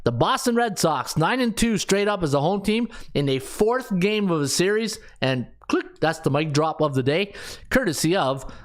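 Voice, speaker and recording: Fifties guy hosting a betting podcast, kinda high voice, joking around, lots of uhs. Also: animated, normal speed. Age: 50s. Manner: breezy and jokey, rattling off betting stats with plenty of ums and uhs. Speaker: podcast host and sports handicapper. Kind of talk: casual sports betting podcast talk.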